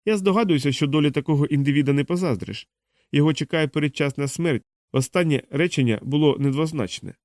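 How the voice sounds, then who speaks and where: A man's voice: fairly high pitch, quick pace, a little mellow, no echo, studio quality, pitched above the performer's own voice, lightly acted. Man reading as a cautious, doubtful male scientist. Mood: resigned, doubtful.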